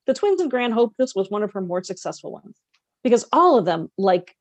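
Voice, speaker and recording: A woman's voice: fairly high pitch, very animated, a little fast, no echo. Woman reading aloud, close dry sound. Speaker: podcast co-host reading a novel aloud. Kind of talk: read-aloud narration with casual asides.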